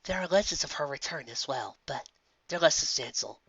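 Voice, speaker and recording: A man voicing a wise, gentle female pony princess and mentor in a hushed, breathy, measured way. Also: a little high, lively intonation, dry recording, lo-fi sound, heavily acted. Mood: gentle approval. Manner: measured, wistful.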